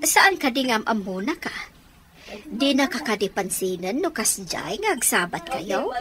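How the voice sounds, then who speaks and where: A woman's voice: on the high side, sing-song, a little slow, crowd noise. A woman playing a nosy, scolding middle-aged mother in a radio drama, with an Ilocano accent. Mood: curious.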